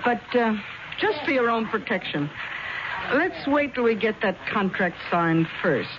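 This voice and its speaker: A worldly, brazen middle-aged mother-in-law, drawling, teasing and bossy. She speaks in 1970s radio drama style on a dull recording with clear noise. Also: fairly high pitch, animated, unhurried. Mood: calculating.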